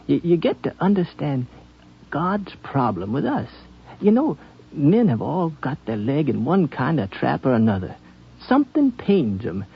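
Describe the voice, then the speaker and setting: An actor playing a gentle, earnest frontier wanderer in a 1950s radio drama, musing and folksy, with halting phrasing. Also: male voice, on the high side, very animated, gravelly, slightly rough, heavily acted. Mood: earnest, reflective. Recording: dull 1950s radio broadcast.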